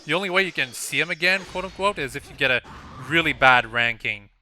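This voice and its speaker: A man's voice: fairly high pitch, lively intonation, fairly quick, pushed and bright, a little hiss or hum. A man giving casual, explanatory commentary over a video game walkthrough in an informal way. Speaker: gameplay commentator. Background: faint game music.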